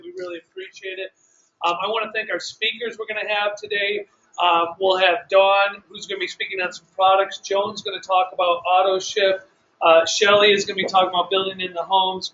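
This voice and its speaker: A man with a loud voice hosting a business training seminar in a hotel meeting room, running through the day's plan briskly with many fillers. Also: high-pitched. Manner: brisk organizer with filler words. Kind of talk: live seminar talk to a room. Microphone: room recording, mic not working. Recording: dull lo-fi room recording, faint noise.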